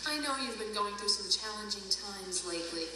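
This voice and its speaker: An actress playing a kind, patient restaurant owner in an audio drama, gently earnest and persistent. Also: female voice, medium pitch, a little fast, natural voice. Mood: gentle, earnest. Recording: echoey, distant, noisy ambience.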